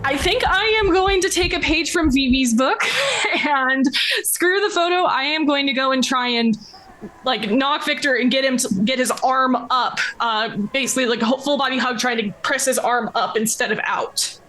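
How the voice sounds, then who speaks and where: Higher-pitched woman playing a tabletop game. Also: normal speed, fairly bright tone. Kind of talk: casual in-game talk. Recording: music under the voice.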